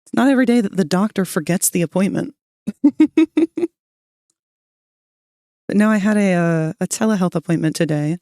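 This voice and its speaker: A woman's voice: medium pitch, animated, moderate pace, long pauses, mellow, dry recording, clean studio sound. A woman streamer chatting casually with her viewers while sketching, her voice a little scratchy, greeting people by name and laughing often. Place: cold room at home.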